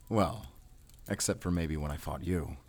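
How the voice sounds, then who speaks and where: Grim, determined werewolf hunter, low-pitched, brooding and terse, measured audio drama delivery. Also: male voice, gravelly, darkish tone, heavily acted. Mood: measured, intent. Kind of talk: cinematic audio drama acting.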